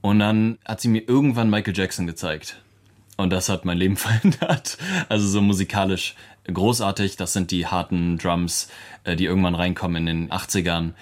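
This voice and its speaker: A man's voice: deep voice, steady tone, moderate pace. A young man, a musician and producer whose first language is English, answering interview questions in a loose, thoughtful way with many fillers. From Germany.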